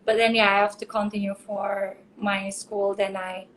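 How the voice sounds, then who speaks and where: A woman in her twenties from Indonesia, speaking English as a second language in a bubbly, excitable live video chat. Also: mid-range voice, normal speed, slight background noise, low-quality recording. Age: 20s. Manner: bubbly, exclaiming, laughs often.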